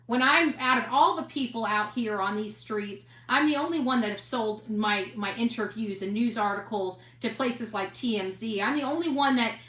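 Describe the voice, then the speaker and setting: Female online reporter-host, forceful bright tone, emphatic and defiant delivery, echoey room. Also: on the high side, moderate pace, few pauses. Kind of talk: impassioned monologue to online audience.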